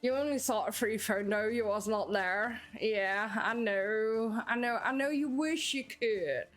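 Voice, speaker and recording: A woman's voice: a little high, a little slow, faint music behind. A young Dutch woman with a Dutch accent, chatting with viewers while streaming a game. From Netherlands. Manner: chatty, answers viewers, bursts of laughter. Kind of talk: live-streamed gameplay chat.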